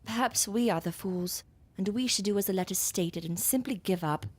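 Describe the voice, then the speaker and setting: A woman playing a disillusioned female scientist in an audio drama, speaking in a formal, measured way. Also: medium pitch, natural voice. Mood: despairing. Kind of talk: period audio drama acting.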